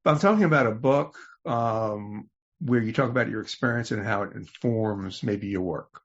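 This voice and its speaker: A man hosting a podcast, asking questions in a casual, measured conversational way. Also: lowish voice. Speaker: podcast host, interviewer. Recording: slightly dull, lo-fi audio.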